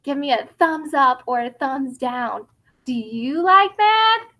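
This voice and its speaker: High, bright-voiced woman reading to children. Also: slow. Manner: instructive, expressive, addresses children directly. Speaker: teacher reading a picture book aloud. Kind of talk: read-aloud for young children, with questions to the class.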